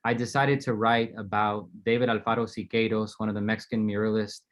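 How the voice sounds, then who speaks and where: Low-voiced middle-aged man, thoughtful panelist. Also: brisk. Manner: thoughtful, steady, frequent ums. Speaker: panelist, university teacher. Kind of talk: panel discussion, personal story.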